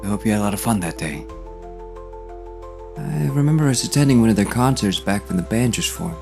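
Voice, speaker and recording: An actor playing a dead man who writes tender letters to a partner, in halting, intimate audio drama delivery. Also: lowish voice, lively intonation, creaky, music in the background. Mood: fond, nostalgic.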